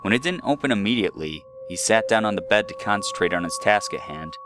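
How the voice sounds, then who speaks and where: A man's voice: on the low side, expressive, quick pace, noisy background. A man reading a scripted first-person horror story as narrator. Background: eerie background music.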